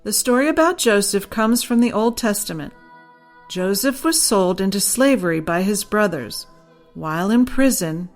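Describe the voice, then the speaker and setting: Woman reading a children's storybook. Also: mid-range voice. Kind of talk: scripted audiobook narration.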